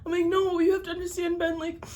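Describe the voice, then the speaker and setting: A woman's voice: fast talker, a little hiss or hum. Chatty young woman telling a story. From Malawi. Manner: chatty, expressive, acts out quotes with pitch jumps. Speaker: vlogger. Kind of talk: casual storytime vlog while eating.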